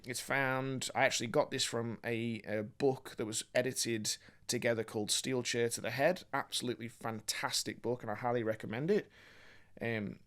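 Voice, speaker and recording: A man, born in England, presenting video essay commentary in an articulate, measured, academic manner. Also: medium pitch, a bit bright. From born in England, grew up mostly in Australia.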